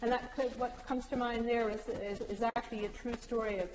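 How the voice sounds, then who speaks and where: A woman's voice: on the high side, fairly even intonation, brisk, a little mellow, slight background noise. A woman from Newfoundland giving a conference presentation, explaining ideas and slipping in anecdotes. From Newfoundland, Canada. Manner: explanatory, joking aside with anecdotes. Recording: echoey hall.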